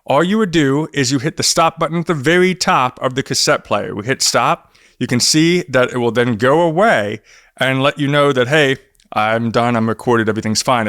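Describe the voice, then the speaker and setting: A man's voice: mid-range voice, fairly bright tone, no room sound. A man in his thirties giving a conversational, step-by-step product review to camera, close on a desk microphone. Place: small home office studio, indoors. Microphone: close desk microphone on boom arm.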